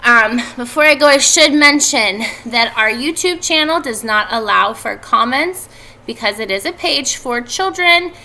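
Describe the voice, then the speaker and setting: A woman in her thirties, a schoolteacher speaking to children in a deliberate teacherly way, recorded at home on a webcam. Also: a little high, animated, sharp tone, poor audio. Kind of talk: read-aloud and instructions for schoolchildren. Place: indoors at home by a fireplace. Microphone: computer webcam at desk distance.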